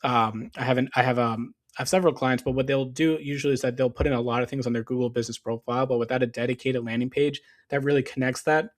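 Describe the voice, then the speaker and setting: A man's voice: medium pitch, flat delivery, quick pace, few pauses. A man who is losing his voice, walking viewers through his business setup in a casual screen-share tutorial, with lots of fillers and restarts. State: losing his voice, clearing throat. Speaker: SEO business owner.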